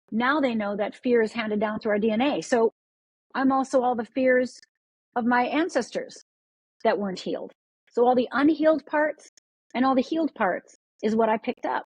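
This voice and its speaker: A woman from Upper Michigan speaks as a podcast guest, her voice set a little high with a husky edge. She talks with energy, often doubling back and repeating words as she restarts her sentences. The recording is close and dry. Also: no room sound, rough recording. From Upper Michigan, USA. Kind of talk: podcast interview.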